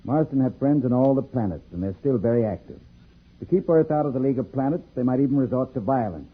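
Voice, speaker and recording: Grave, slightly husky judge. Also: male voice, mid-range voice, animated, quick pace, phone-quality sound. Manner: earnest, formal. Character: grave, worried male judge of planetary league. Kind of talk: 1950s radio serial acting.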